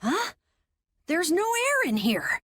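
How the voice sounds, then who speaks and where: A woman's voice: very high voice, huge pitch swings, slow, a bit bright, no echo, full character voice. An eager young boy. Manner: earnest, eager. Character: eager young boy, earnest and a bit timid. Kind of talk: video game voice acting. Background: game music.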